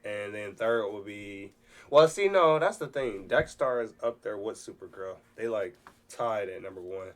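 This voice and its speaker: Male host, lowish voice, loose unscripted fan chat, thinks aloud and corrects himself. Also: animated, normal speed. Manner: hesitant, self-correcting, informal. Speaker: host of a read-along reaction. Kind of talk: casual unscripted commentary.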